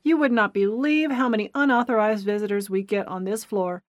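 A woman voicing a brisk, chirpy female receptionist apologizing for guarding her floor. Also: mid-range voice, no room sound, dull audio, close to an everyday voice.

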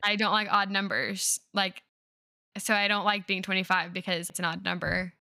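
A young woman in her twenties chats with her best friend on a podcast, giggling often and talking in easy, rambling sentences. Her voice is bright and forward. The sound is close and dry. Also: medium pitch, level tone, moderate pace, vocal fry, dry recording. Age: 20s. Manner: bubbly, giggly, chatty. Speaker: podcast co-host, teacher. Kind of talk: casual chat between friends.

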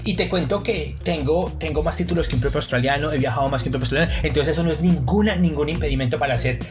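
A man's voice: a little high, racing, music in the background. A Colombian man, a pastry chef, speaking as a podcast guest in an earnest way, often repeating words as he searches for them. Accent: Colombian Spanish. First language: Spanish. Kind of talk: casual podcast interview.

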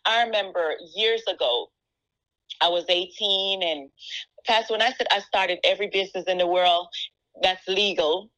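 A woman's voice: lowish voice, normal speed, no room sound, rough recording. A woman with a Jamaican accent giving a conversational personal testimony, quoting people as she tells it and often checking in with "right?". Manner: animated storytelling, acting out quoted remarks, repeated "right?" tags. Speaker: pastor and show guest giving testimony.

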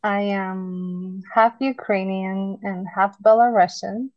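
A woman whose first language is Russian talks frankly and animatedly as a podcast guest, taking her time over each thought. Her voice sits at an ordinary pitch. The recording is dry and a little muffled. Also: dry recording. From Latvia, raised in the United States. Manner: frank, animated and quick to laugh. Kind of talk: casual podcast chat.

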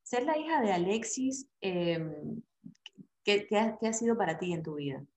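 A woman's voice: mid-range voice, expressive, moderate pace, darkish tone. A woman hosting an interview, asking questions in a casual, admiring way.

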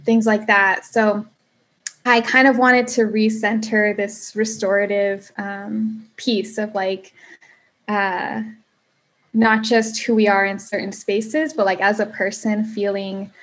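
A young woman with a soft, quiet voice, a coach answering interview questions with many pauses and ums. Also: mid-range voice. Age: young adult. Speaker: restorative coach, guest.

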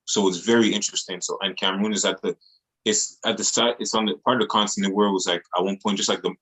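Male music artist, very low voice, earnest slangy interview talk. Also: fairly even intonation, fast, a bit bright, low-quality recording. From Montreal, Canada. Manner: earnest, repetitive phrasing with casual slang. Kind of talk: casual interview chat.

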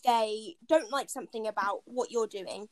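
High-voiced young woman, emphatic and indignant. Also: normal speed, no echo. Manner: emphatic, indignant, asks pointed questions. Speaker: discussion participant. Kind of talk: casual discussion.

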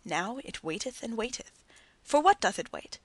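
A woman reading the part of a calm male prophet in an audiobook, measured and solemn. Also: a little high, no room sound. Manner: measured, solemn archaic speech.

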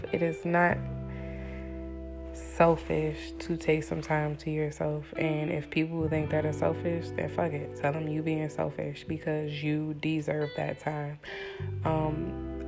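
A woman hosts her own podcast in a hushed, deep, slow voice, keeping it low so the baby stays asleep. She talks candidly and casually, in an even tone with little rise and fall. Plucked guitar music plays clearly underneath. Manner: talking low and soft so as not to wake a baby; relaxed, candid, with fillers and some swearing. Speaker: podcast host. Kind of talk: casual solo podcast monologue.

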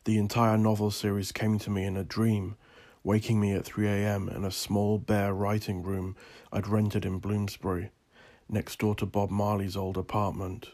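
A man from Northern Ireland narrating his own scripted story as an author, in a brooding, solemn, deliberate and theatrical manner. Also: fairly low pitch, little pitch movement, darkish tone, slight background noise.

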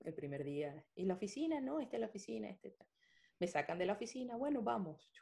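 A woman's voice: medium pitch, fast talker, creaky. A young adult woman telling stories in a casual chat, full of fillers and restarts. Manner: animated storytelling, many fillers.

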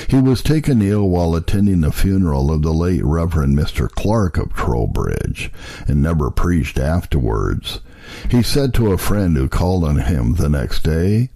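Male narrator, low-pitched, mellow, expressive intonation, scripted historical reading, formal and measured. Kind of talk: scripted narration, reading old obituaries aloud.

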